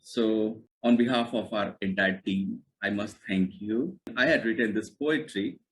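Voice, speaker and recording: A man's voice: fairly low pitch, unhurried, a little hiss or hum, poor audio. A man, a Hindi speaker, interviewing and thanking his guest in a polite, formal way. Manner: polite, formal, many soft affirmations.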